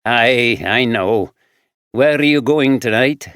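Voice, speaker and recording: A man doing a gruff homeless Glaswegian drifter. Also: mid-range voice, slow pace, a bit bright, no room sound, clean studio sound. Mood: weary. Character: homeless Glaswegian man, grubby, coughing, rambling loner.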